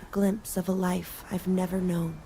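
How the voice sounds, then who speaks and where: An actress playing a wistful, solitary woman in a video game, narrating reflectively with measured pauses. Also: on the low side, level tone, dark tone, slight background noise, natural voice, low-quality recording. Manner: measured, pausing between phrases. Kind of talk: slow, reflective scripted narration.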